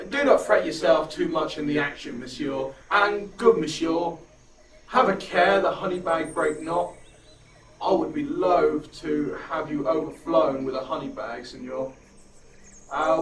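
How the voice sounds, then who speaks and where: A man's voice: on the high side, close to an everyday voice. A man playing a self-important, courteous buffoon turned ass in a comic Shakespearean audio drama, speaking with hesitations. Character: pompous male buffoon with an ass's head. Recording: roomy, distant, faint noise.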